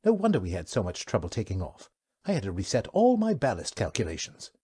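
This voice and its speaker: Male reader as a fussy anxious crewman, voice jumps high. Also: huge pitch swings, a little fast, warm tone, slightly rough, full character voice.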